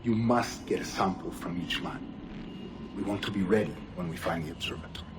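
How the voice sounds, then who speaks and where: A man's voice: deep voice, fairly quick, background noise, natural voice. A man playing a calculating, authoritative Templar schemer in a video game. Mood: calm, commanding. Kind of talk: dramatic video game acting.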